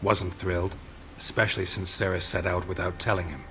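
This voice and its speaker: An actor playing a stoic, world-weary monster hunter in a video game, with a terse, deadpan delivery. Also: male voice, sits low, fairly quick, a little hiss or hum. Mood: dry.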